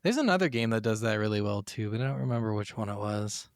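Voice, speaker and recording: A man co-hosting a casual gaming podcast, amused and excitable, with plenty of swearing in his talk. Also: fairly low pitch, fast, no echo, studio quality. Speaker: podcast co-host. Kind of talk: casual video game discussion.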